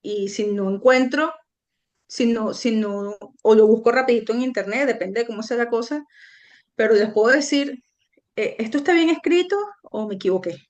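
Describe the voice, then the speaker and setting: A woman's voice: a little high, normal speed, a little mellow, lo-fi sound. A middle-aged woman from Venezuela, a guest recounting her own story in an earnest, reflective way with frequent hesitations. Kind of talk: personal storytelling in an interview.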